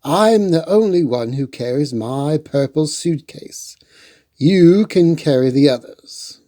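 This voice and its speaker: A storyteller voicing a warm but firm aunt from a children's picture book. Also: mid-range voice, expressive, takes it slowly.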